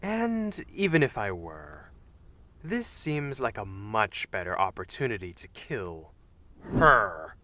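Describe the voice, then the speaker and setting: Man as a cocky, lively dragon assassin. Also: on the low side, takes it slowly, exaggerated voice. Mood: flippant, coaxing. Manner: teasing, suave, flippant. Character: cocky, charming young male dragon assassin. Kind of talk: animated fan dub acting.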